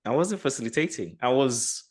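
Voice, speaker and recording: A man's voice: mid-range voice, expressive, a little fast. A man speaking as a guest coach in an interview, reflective and often restarting his phrases. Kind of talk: casual interview chat.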